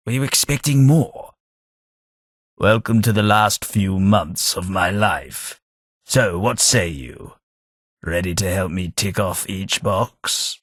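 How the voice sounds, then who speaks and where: A ghoul gentleman, courteous, dry wit, measured, deep-ish voice, close dry sound. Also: slightly rough, dry recording, exaggerated voice. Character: dying ghoul gentleman companion, courteous and wry. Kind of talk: game voice acting.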